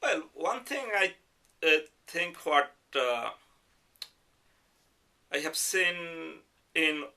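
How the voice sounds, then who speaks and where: A middle-aged or older man with a Bengali accent, giving a reflective interview in a measured, hesitant way with careful qualifications. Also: a little high, big pitch swings, bright, forceful tone. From Bangladesh. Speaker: interviewee, professor. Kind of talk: reflective oral history interview.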